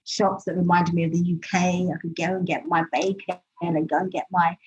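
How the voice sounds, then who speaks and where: A woman's voice: on the low side, level tone, brisk, darkish tone. Chatty British-accented woman, lo-fi sound. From Nigeria. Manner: chatty storytelling, laughs easily. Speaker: podcast guest. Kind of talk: casual interview conversation.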